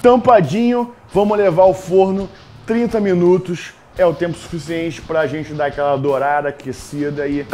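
A young Brazilian man hosting a comic cooking vlog in his home kitchen, brash and jokey, jumping from loud hype to low mutters. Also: fairly even intonation, normal speed. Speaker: cooking show host.

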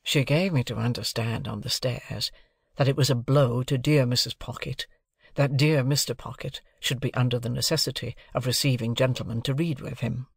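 Gravelly male audiobook narrator. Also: mid-range voice, steady tone, normal speed, dry recording, clean studio sound. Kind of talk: literary scripted narration.

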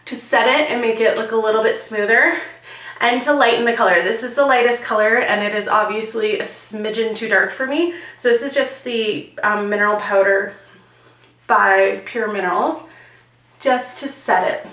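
A woman in her thirties with a slightly froggy, hoarse voice, chatting and joking through a beauty product review in a small bedroom. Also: on the high side, normal speed, a bit bright. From Canada. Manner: chatty and jokey, demonstrating while talking. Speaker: beauty vlogger. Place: small bright bedroom, indoors. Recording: roomy, slightly distant camera sound.

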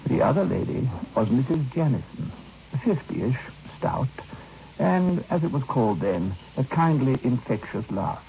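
A reflective male writer telling an uncanny tale for old radio. His voice is mellow and gravelly, with measured phrasing and dramatic pauses. The recording is dull and slightly noisy. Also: mid-range voice, very animated. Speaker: narrator. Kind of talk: old radio drama first-person narration.

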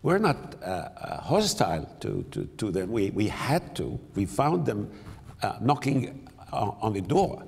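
An older man speaking on a conference panel, halting, with many repeated words and fillers. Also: fairly low pitch, a little mellow, breathy edge. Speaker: panelist, city mayor. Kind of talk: panel discussion. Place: large conference hall, on stage. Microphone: lapel mic. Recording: long hall reverb.

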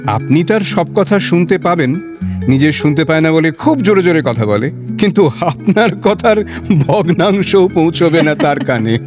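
A man's voice: fairly high pitch, lively intonation, normal speed, close to an everyday voice. An actor playing a chatty, polite young man in love in an audio drama. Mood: joking. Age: young adult. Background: music.

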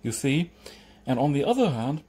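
A man's voice: medium pitch, big pitch swings, normal speed, mellow, slightly rough, voice pushed up. A man voicing a stitched-up servant creature from a storybook, obsequious, formal and unflappable, with a grumbling streak. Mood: smooth, unflappable. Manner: deferential, formal, salesmanlike.

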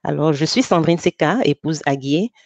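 A woman's voice: deep voice, moderate pace, a little mellow, no echo. A woman introducing herself politely and formally on a video call. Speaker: panelist. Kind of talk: self-introduction on webinar. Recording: dull lo-fi video call audio.

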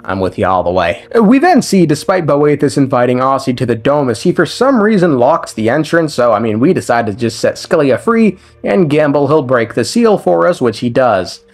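A man's voice: medium pitch, very animated, moderate pace, few pauses. A man giving a casual scripted recap of a video game story as a lore commentator, with irreverent, sweary, slangy asides. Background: background music.

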